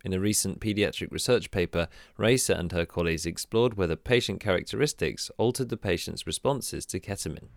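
Low-voiced man interviewer, dry. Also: fairly quick, gravelly, few pauses, no room sound. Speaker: interviewer and narrator. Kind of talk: scripted narration and interview questions.

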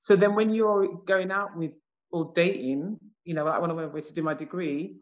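A woman's voice: on the low side, steady tone, normal speed, lo-fi sound. A British woman in her fifties talking reflectively in a casual conversation, with many "you know" asides. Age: 50s. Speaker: discussion participant.

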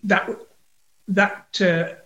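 A man's voice: high-pitched, drawn-out words, lo-fi sound. Man recalling old times. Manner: relaxed, recalls stories with restarts. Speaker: guest, private investigator. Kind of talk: conversational interview.

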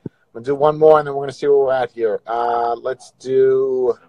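A man's voice: medium pitch, steady tone, brisk, muffled recording. A man hosting a casual livestream, teasing and joking crudely with viewers on video calls. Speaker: livestream host.

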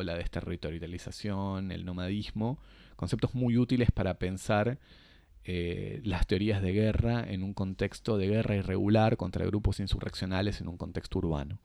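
Halting deep-voiced man lecturing. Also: gravelly, warm tone, no room sound, clean studio sound. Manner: hesitant, many fillers and restarts. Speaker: panel speaker. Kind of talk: academic talk.

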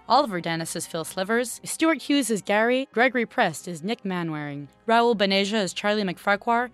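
A woman announcer reading scripted end credits for an audio drama podcast. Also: mid-range voice. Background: faint music.

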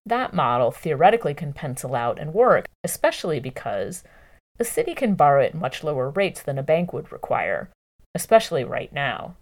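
A woman narrating a long-form news article from a script in a measured, clearly phrased way. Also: deep voice, very animated.

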